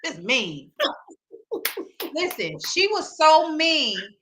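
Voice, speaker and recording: A woman's voice: high voice, big pitch swings, drawn-out words, fairly bright tone, a little hiss or hum. A woman in her forties from Michigan, a podcast guest talking in an easygoing way with a Michigan accent. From Flint, Michigan. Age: 40s. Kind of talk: casual chat.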